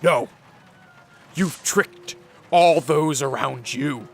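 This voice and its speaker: An actor playing a brooding, weary vampire nobleman in a radio drama, speaking with solemn intensity in a gravelly voice. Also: fairly high pitch, a little hiss or hum. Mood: defiant, intense. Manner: slow, intense, solemn delivery.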